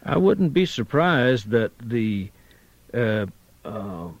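A male scholar on a 1990s radio show explains his examples haltingly in a mid-range voice. The sound is dull and dry. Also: medium pitch, very animated, no echo. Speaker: guest linguistics professor. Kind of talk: radio interview.

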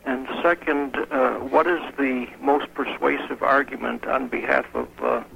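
A polite male caller puts two formal questions to the guests. His voice is bright and gravelly, with a faint hiss behind it. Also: mid-range voice, fairly even intonation, a little slow, lo-fi sound. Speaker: caller, former student. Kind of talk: radio call-in question.

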